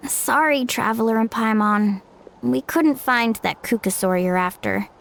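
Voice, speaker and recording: A woman's voice: on the high side, a little slow, vocal fry, slight background noise. A woman voicing a cheerful, earnest young girl in a video game, bubbly and eager. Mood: friendly.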